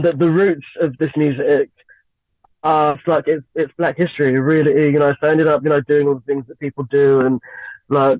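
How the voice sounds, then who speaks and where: A young woman from Lagos and London, a singer, chatting as an interview guest with frequent giggles and lots of halting fillers. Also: low voice, dry recording. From Nigeria and England. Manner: giggly, hesitant with many fillers. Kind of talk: radio interview, casual chat. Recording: tinny phone-line sound, dry.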